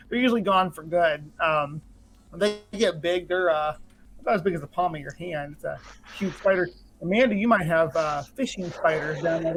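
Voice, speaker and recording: A man chatting casually as a guest, hesitant, with many pauses and fillers. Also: high-pitched, slight background noise, low-quality recording. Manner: hesitant, lots of uh. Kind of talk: casual chat.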